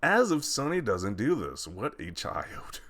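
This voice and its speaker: A very low-pitched man gives casual commentary on social media posts. His delivery swings widely up and down, with a mocking, incredulous edge. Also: wildly swooping pitch, measured pace, a bit bright. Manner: mocking, incredulous, often chuckling. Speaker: video host commentator.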